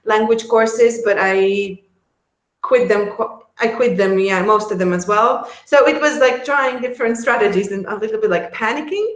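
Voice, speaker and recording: A woman's voice: mid-range voice, normal speed. Latvian lady chatting in an interview, laughs a lot, trips over her words, roomy echo. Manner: chatty, laughs often, many restarts. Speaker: guest, relocation service founder. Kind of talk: casual interview chat.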